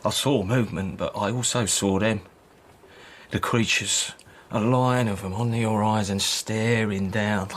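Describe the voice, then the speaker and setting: A man acting a brisk, blunt soldier in a period radio drama, shaken by war but practical, with terse, plain-spoken, bossy delivery. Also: on the low side, fairly even intonation. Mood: shaken, bleak.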